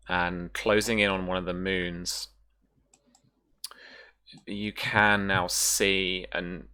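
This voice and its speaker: A game master improvising descriptive narration for a tabletop role-playing game in a halting way. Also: sits low, pushed and bright.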